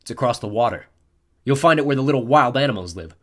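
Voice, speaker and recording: A man's voice: mid-range voice, animated, fast, fairly bright tone, voice pushed up. A man voicing a sneering teenage god of fear who taunts and mocks. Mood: taunting, smug. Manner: taunting, mocking, smug. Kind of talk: audiobook character voice.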